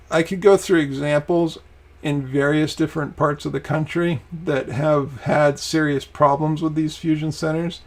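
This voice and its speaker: Gravelly-voiced man talking casually. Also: on the high side. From Maine, USA. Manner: conversational, with many ums and restarts. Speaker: private detective; podcast host. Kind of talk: casual talk.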